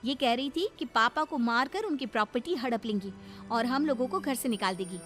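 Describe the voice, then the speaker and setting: A woman voicing a suspicious, bold young daughter-in-law in an animated story, knowing and confrontational. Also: a little high, fairly quick, faint music behind. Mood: accusing. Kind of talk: animated Hindi story voice acting.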